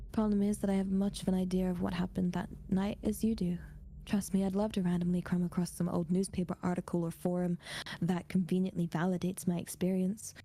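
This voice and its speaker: A woman narrating a first-person ghost story in a soft ASMR whisper, measured and confiding. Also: medium pitch, natural voice. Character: narrator recounting a personal ghost story, shaken but wry.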